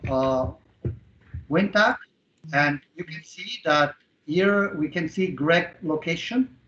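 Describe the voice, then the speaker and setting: A middle-aged man explaining a software demo step by step with halting, non-native phrasing, over a video-call headset. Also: a little high, a little hiss or hum. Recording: dull, roomy online call audio.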